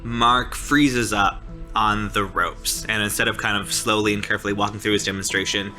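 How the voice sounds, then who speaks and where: A man's voice: lowish voice, steady tone, moderate pace, bright, forceful tone, faint music behind. A man improvising narration as a player in a tabletop roleplaying game, in a casual, informal way. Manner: informal, peppered with "like" and "sort of".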